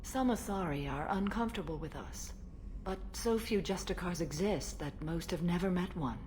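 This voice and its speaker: Low-voiced, solemn alien warrior woman, played by an actress. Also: a little mellow, slight background noise, close to an everyday voice. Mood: solemn, resolute. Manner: formal, measured, solemn. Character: ancient alien warrior woman, stern, serene, unyielding. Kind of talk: video game dialogue acting.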